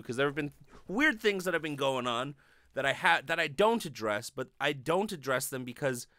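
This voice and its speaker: A man in his thirties with a clean, smooth voice at a middle pitch talks close to the mic with very little room sound. He rambles through a confessional comic monologue as a podcast host, swearing freely and getting animated as he goes. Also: no room sound. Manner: animated, profane, rambling storytelling. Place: small bedroom, indoors. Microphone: close desk studio microphone with pop filter.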